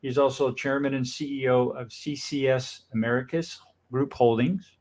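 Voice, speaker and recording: A man's voice: medium pitch, fairly even intonation, normal speed, darkish tone. An upbeat male talk show host with a mid-range voice. He welcomes and questions his guest in a friendly way, often with enthusiastic interjections. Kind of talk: casual interview hosting.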